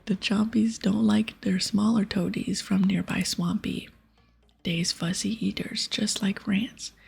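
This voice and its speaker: A woman voicing a crude, hungry ogre hunter in a breathy whisper, with childlike broken grammar, for an ASMR game playthrough. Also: mid-range voice, fairly even intonation, a little mellow, soft background music, exaggerated voice. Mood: hungry, eager. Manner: broken baby-talk grammar, drawn-out words.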